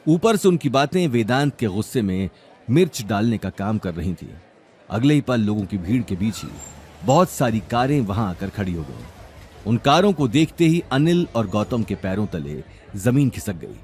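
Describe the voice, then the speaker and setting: A man's voice: fairly low pitch, a little mellow. A man narrating a scripted audio drama series in a dramatic storytelling style.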